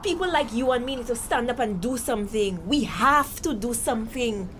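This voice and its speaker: A woman's voice: on the high side, lively intonation, moderate pace, a bit bright. A woman with a Caribbean accent playing an outspoken, insistent young daughter in a TV drama. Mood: exasperated, insistent. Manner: insistent, challenging, questioning. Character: outspoken young woman, anxious daughter pleading for her mother. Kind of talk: TV drama dialogue. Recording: clearly noisy background ambience.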